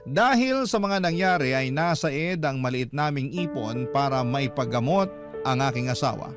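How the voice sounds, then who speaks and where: A man's voice: medium pitch, lively intonation, moderate pace. A man with a Filipino accent reading a letter dramatically as a radio storyteller. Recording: music behind the voice. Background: music.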